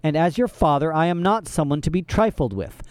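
A man's voice: on the high side, lively intonation, fairly quick, darkish tone, smooth, no echo, pitched above the performer's own voice, lightly acted. A man voicing a menacing, greedy villain with a scratchy voice in an audiobook.

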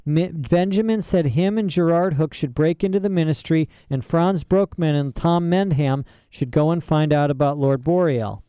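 A small wounded ferret spirit, female, faint and panting as she pushes her words out, as a man puts her on. Her voice sits higher than a narrator's and her grammar is rustic. The recording is dry and close. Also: normal speed, hardly any pauses, warm tone, clean tone, dry recording, close to an everyday voice. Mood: strained urgent. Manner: urgent, strained, rustic grammar.

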